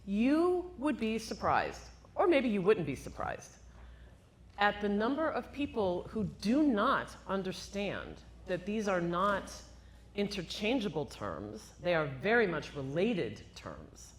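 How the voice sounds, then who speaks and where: A woman neurologist speaking live to an audience in a room, with a loud, emphatic voice and rhetorical questions. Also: medium pitch, sing-song, slow. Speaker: cognitive neurologist guest. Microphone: handheld microphone.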